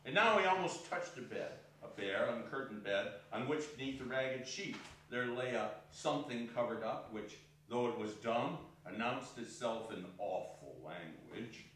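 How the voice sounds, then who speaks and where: A man's voice: mid-range voice, animated, normal speed, hardly any pauses, a bit bright. A man narrating a scripted ghost story in a dramatic stage reading. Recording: very echoey hall, distant mic, faint noise.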